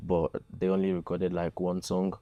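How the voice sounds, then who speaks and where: A man's voice: low-pitched, completely flat delivery, normal speed, mellow, dry recording, lo-fi sound. A young man from Nigeria, a musician being interviewed, talking casually and hesitantly with many fillers. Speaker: guest musician.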